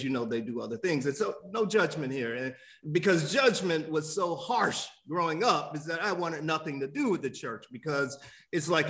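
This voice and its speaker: A man from Miami, a guest author answering interview questions in a thoughtful, halting way with many fillers and restarts. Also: a little high, fairly bright tone. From Miami, USA.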